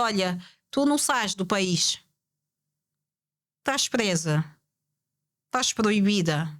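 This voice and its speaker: Woman guest on a podcast, medium-pitched voice, talks slow and thoughtful, keeps repeating her point and asking herself questions. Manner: poses rhetorical questions and repeats key points. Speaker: guest speaker. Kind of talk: reflective podcast conversation.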